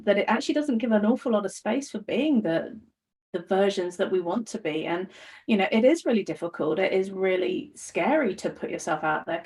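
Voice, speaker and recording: A woman's voice: medium pitch, darkish tone. A woman with an English accent, talking as a life coach and guest in a casual podcast chat, earnest and enthusiastic with frequent ums and restarts. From United Kingdom.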